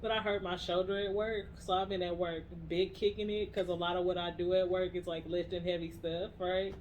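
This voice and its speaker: A woman's voice: lowish voice, level tone, fairly quick, a little mellow, a little hiss or hum, low-quality recording. Playful laughing woman podcaster. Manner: playful, laughs often, slangy. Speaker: podcast co-host. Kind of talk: casual chat.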